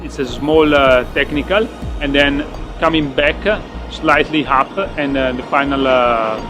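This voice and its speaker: A man's voice: medium pitch, steady tone, measured pace, over music. A man, a professional cyclist in an interview, speaking hesitant English with many fillers and non-native phrasing.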